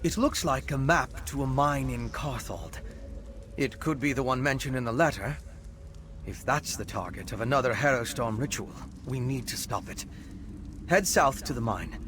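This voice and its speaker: An actor playing a wry, refined vampire scholar in a video game, speaking in an articulate, measured, bookish way. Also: male voice, mid-range voice, fairly bright tone, a little hiss or hum, natural voice. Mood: studious, grave. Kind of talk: video game character dialogue. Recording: game ambience behind the voice.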